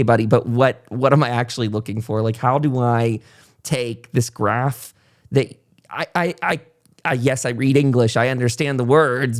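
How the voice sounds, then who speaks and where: A man hosting a casual interview podcast, talking in a rambling, reflective way as he thinks aloud. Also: mid-range voice. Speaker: podcast host and content creator.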